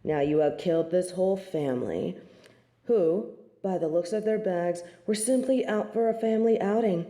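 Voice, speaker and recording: A woman performs a self-righteous female angel in an audio drama, looking down on everyone. The voice is low and mellow, with measured, imperious phrasing in a roomy, echoing sound. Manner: disdainful, imperious, formal speech. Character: haughty, merciless female angel of judgement, snobbish and self-righteous. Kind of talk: scripted audio drama acting.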